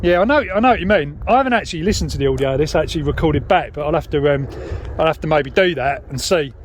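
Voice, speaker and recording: A man's voice: fairly high pitch, lively intonation, quick pace, background noise. A British man in casual, informal radio chat while walking outdoors, hesitating and trailing off now and then. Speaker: radio hobbyist and vlogger. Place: outdoors on a dog walk.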